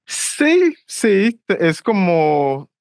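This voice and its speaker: A man's voice: high voice, very animated, extremely slow, smooth, dry recording, studio quality. A man hosting a podcast interview, asking questions hesitantly with many fillers and repeated words. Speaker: podcast host. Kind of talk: casual interview conversation.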